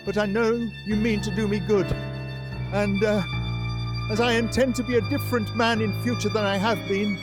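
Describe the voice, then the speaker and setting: A man acts out an old miser in a radio play, his voice pitched high. He is anxious, earnest and hesitant, pleading like a penitent, with music playing behind him. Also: fairly even intonation, moderate pace, natural voice. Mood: fearful, resolute. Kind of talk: stage radio play acting. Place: live performance in a church.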